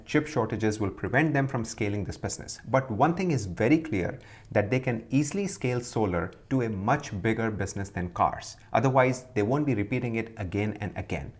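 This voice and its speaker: A man hosting a video, giving emphatic, scripted-sounding commentary on investing and stepping through it point by point. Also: mid-range voice. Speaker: stock commentary video host.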